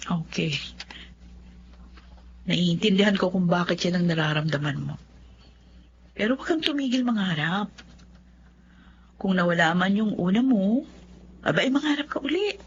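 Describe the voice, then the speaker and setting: A woman's voice: fairly low pitch, dull audio, a little hiss or hum. An actress playing a kindly, encouraging Filipino woman attorney in a radio drama.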